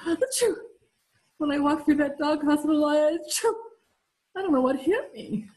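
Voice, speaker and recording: A woman's voice: high voice, animated, normal speed, a little mellow. A middle-aged woman playing a plaintive, miserable wife with allergies in old-time radio comedy style. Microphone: video call, close to screen.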